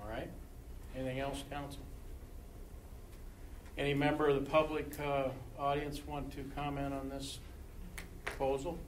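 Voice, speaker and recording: A man in a mid-range voice chairs a city council meeting, moving briskly through motions and roll calls. He is recorded by a meeting-room mic with clear background noise. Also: medium pitch, monotone, low-quality recording. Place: council chamber.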